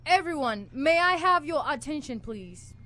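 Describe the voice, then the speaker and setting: A woman's voice: high voice, lively intonation, a little slow, sharp tone, exaggerated voice. A woman acting an earnest, lovestruck young male student in a live amateur radio play. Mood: rousing. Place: small college radio studio.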